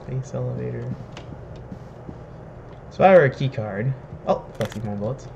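A young man with a slightly hoarse voice, chatting casually and jokingly while he plays and reads game text aloud. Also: mid-range voice, normal speed, darkish tone, dull audio, slight background noise. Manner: chatty, joking, laughs at himself. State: slightly hoarse, says he is sick and losing his voice. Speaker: streamer playing a video game. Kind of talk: casual let's-play commentary, reading in-game text aloud. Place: home room, webcam in corner.

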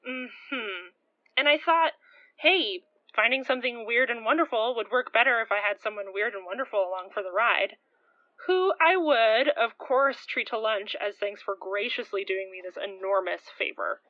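A woman's voice: fairly high pitch, expressive, normal speed, no room sound, muffled recording, natural voice. A woman playing a confident, playful young woman with a breezy, teasing manner in an audio drama. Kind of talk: naturalistic audio drama dialogue.

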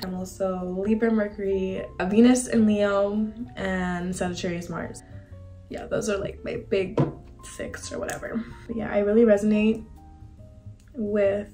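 A woman's voice: mid-range voice, mellow. Young woman chatting casually over music. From several countries, including Argentina and Bosnia. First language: bilingual English and Spanish. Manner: informal slangy, confiding. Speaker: vlogger. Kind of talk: casual chatty get-ready-with-me monologue. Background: background music.

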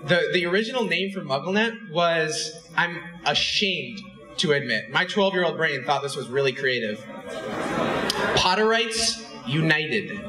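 A young man telling a self-mocking story on stage to a live crowd, holding a handheld mic. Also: on the high side. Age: young adult. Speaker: company head and co-host. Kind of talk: stage anecdote to a live audience. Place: large dark stage in a hall. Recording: echoey hall.

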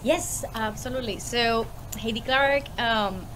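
A woman in her thirties from Puerto Rico speaks with a Puerto Rican accent. She sits in a room at home and talks over a video call, animated and often laughing, her voice a little high with faint hiss behind it. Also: unhurried, rough recording. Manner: lively, laughs often, many fillers. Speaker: guest, small business owner. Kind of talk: casual interview on a video call.

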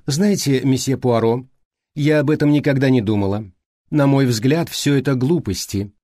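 A man voicing a prim, brisk, unsentimental female secretary in an audiobook, precise and matter-of-fact. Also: mid-range voice, dark tone, no room sound, clean studio sound, lightly acted. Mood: dismissive. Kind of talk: audiobook character reading.

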